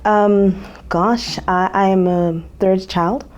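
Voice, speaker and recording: A woman from Zambia talking reflectively as an interview guest, expressive, with frequent fillers and ready laughter. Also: medium pitch, takes it slowly, lo-fi sound.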